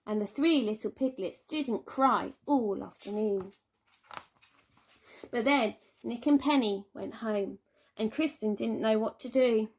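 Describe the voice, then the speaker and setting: A woman teacher reading a children's storybook aloud with expressive, dramatic emphasis and drawn-out words. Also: a little high. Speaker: primary school teacher.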